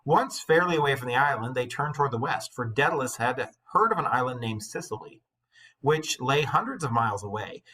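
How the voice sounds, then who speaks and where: A man with a bright, forceful voice and a husky edge, reading and explaining a story like a high school English teacher. His phrasing is dramatic and emphatic, at a medium pitch. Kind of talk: expressive story read-aloud with teaching asides.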